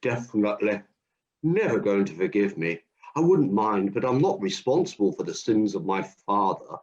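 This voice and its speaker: A man's voice: fairly low pitch, big pitch swings, normal speed, a little mellow. A man playing Hercules, a keen, overconfident hero looking for work in a comic radio play. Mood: glum. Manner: cheerfully overconfident, matter-of-fact. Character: Hercules, strong, keen, job-hunting hero. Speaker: job seeker. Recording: dull lo-fi audio.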